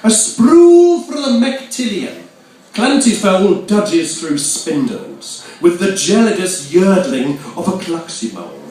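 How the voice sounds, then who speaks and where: A man declaims nonsense poetry in a very echoey space, heard from a distance. His delivery is theatrical and mock-grand, slow and carefully enunciated, with big swoops in pitch. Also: darkish tone. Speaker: poet performing live. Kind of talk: theatrical nonsense-verse recital. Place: reverberant venue at an arts festival. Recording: echoey hall, distant microphone.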